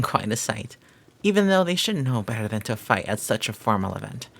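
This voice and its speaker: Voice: medium pitch, slightly rough. A performer playing a flirty, devoted maid who teases and gossips in formal, flowery phrasing, in a scripted romantic audio roleplay. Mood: eager, gossipy.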